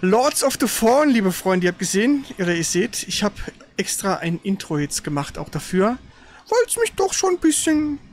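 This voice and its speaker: A man with a high-pitched voice hosts a live gaming stream. He comments casually as he plays. His speech rambles, with frequent stutters and self-corrections. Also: sing-song, moderate pace. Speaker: host of a gaming livestream.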